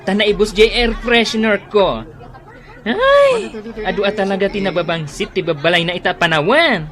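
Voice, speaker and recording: A woman with an Ilocano accent playing a sassy, gossipy neighbour who sells air fresheners in a comedy radio drama, teasing and salesy with drawn-out exclamations. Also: mid-range voice, very animated, crowd noise, close to an everyday voice. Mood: cheeky, indignant.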